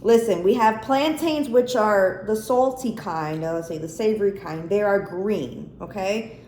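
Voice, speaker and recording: A woman's voice: medium pitch, measured pace, darkish tone. An American woman hosting a cooking vlog, chatting casually and informally to camera with plenty of swearing. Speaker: cooking and chat vlog host. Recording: echoey room, faint background noise.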